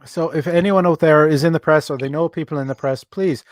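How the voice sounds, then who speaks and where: An Irish man hosts a live video-call chat show and reads viewer questions aloud. His voice sits a little high and sounds dry and close, and he stumbles through fillers and restarts as he talks. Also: a little fast, no echo. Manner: hesitant, many fillers and restarts. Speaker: livestream host.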